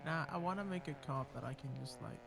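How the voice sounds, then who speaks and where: A man's voice: medium pitch, quick pace, creaky, a bit bright, slight background noise, low-quality recording. A man chatting easygoingly while playing a game, giving friendly tips. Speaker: fellow player. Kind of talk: casual gaming chat.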